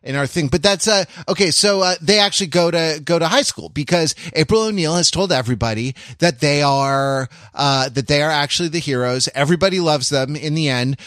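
A man hosting a podcast in a casual, joking style, with a halting, wry and wordy way of talking. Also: on the high side, a bit bright, no echo, studio quality. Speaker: podcast host.

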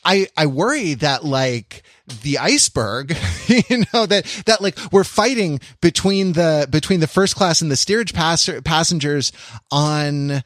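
A man's voice: on the high side, animated, measured pace, fairly bright tone, dry recording, studio quality. A man co-hosting a casual podcast discussion, rambling and often restarting his phrases mid-thought. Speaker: podcast co-host.

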